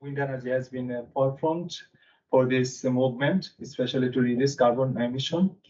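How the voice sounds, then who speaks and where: A young man from Bangladesh presenting a technical seminar in a conference room, talking haltingly with frequent fillers. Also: mid-range voice, a little mellow. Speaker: seminar speaker. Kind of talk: technical lecture. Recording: distant mic, dull sound.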